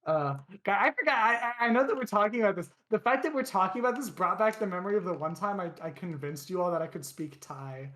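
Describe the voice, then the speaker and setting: A man's voice: high-pitched, fast talker, few pauses. A young man co-hosting a casual podcast, telling stories in a rambling way full of restarts and fillers. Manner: animated storyteller. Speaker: podcast co-host. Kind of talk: casual chat.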